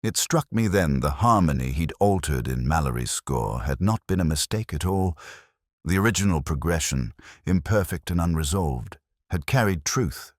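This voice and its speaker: Very deep-voiced male story narrator. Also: expressive, no echo, studio quality. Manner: literary, measured, brooding. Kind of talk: scripted first-person detective story narration.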